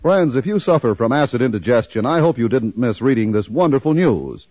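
A man's voice: mid-range voice, expressive, a little fast, darkish tone, a little hiss or hum. An American man delivering an emphatic 1950s radio advertisement as a commercial announcer. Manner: emphatic, enumerating sales delivery. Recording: dull band-limited 1950s radio recording.

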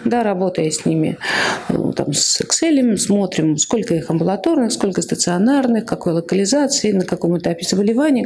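A woman's voice: mid-range voice, a little mellow, slight background noise. A woman in her late forties giving a conference talk as an oncology psychologist, explaining earnestly with frequent fillers and self-corrections. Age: 40s. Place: conference hall, at a lectern.

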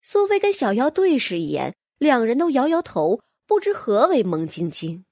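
A woman's voice: fairly high pitch, huge pitch swings, moderate pace, a little mellow, no echo, studio quality. A woman reading scripted fantasy fiction expressively as an audiobook narrator.

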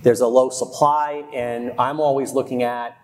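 A man's voice: mid-range voice, level tone. Middle-aged man on a conference panel. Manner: measured, analytical, speaks in long explanations. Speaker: panelist, real estate expert. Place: large conference hall stage. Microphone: lapel mic.

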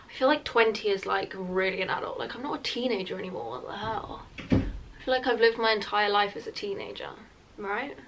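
A woman's voice: fairly high pitch, a bit bright, rough recording. A twenty-year-old woman with a British accent, chatting informally to camera as a vlogger, often saying "like". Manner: informal and chatty, heavy use of "like". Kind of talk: casual get-ready-with-me chat to camera. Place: small room at home, indoors. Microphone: camera a metre or so away.

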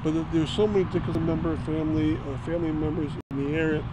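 A man's voice: a little high, little pitch movement, quick pace. A man giving a casual, rambling history tour outdoors on a town green, recorded on a phone. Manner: rambling, with fillers and self-corrections. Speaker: local history tour guide. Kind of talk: casual walking tour narration. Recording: outdoor traffic noise.

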